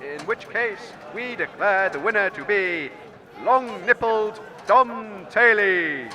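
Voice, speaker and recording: A man playing a formal, halting sports announcer in a comedy audio drama, heard from a distance. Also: sits high, lively intonation, very noisy. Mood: formal, apologetic. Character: formal, hesitant sports event announcer at shambolic games. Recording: distant announcer over outdoor crowd.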